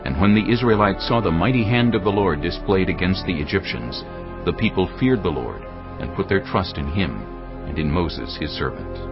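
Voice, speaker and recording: A man narrating scripture in a measured, steady storytelling voice. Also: sits low. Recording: muffled, with music underneath.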